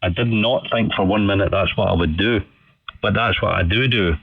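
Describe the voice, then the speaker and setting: A man with a low voice talks on amateur radio in a polite, chatty way, repeating himself for emphasis. The sound is dry and somewhat dull, carried by a band-limited radio signal. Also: normal speed, creaky, no room sound. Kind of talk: casual ham radio chat. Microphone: studio condenser microphone over radio.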